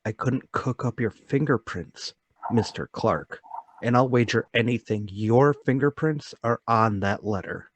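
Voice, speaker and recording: A man playing an earnest, troubled but determined accused man in a radio play table read, speaking deliberately. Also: medium pitch, slight background noise, lightly acted. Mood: quietly triumphant.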